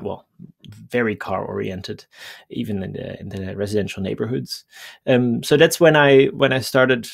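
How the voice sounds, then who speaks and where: A man in his thirties from the Netherlands with a Dutch accent, a guest talking about his work in a relaxed, conversational way over a headset on a video call. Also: lowish voice, creaky. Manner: relaxed, conversational, thinking aloud. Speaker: guest, bicycle planner. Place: home room, indoors.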